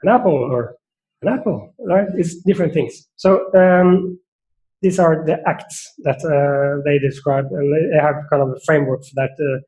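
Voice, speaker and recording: A man's voice: fairly high pitch, normal speed, darkish tone, lo-fi sound. A man with a Norwegian accent giving an academic seminar talk, thoughtful and hesitant, with many fillers and restarts. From Norway. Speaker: seminar speaker.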